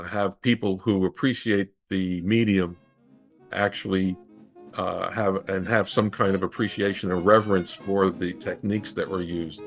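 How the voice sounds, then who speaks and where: Low-voiced man explaining in conversation. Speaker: interview guest, radio historian.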